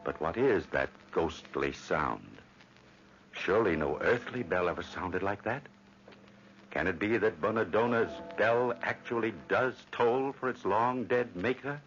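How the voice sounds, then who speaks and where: An actor playing a solemn, eerie storyteller, narrating in theatrical old radio drama style. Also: male voice, lowish voice, lively intonation, unhurried, a bit bright. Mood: brooding, mysterious. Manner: portentous, theatrical. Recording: dull old radio recording. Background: music.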